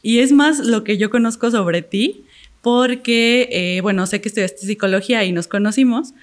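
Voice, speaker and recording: A woman's voice: on the high side, moderate pace. A woman co-hosting a podcast, chatting in a friendly way with plenty of hedging fillers. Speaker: podcast co-host. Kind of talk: casual interview chat.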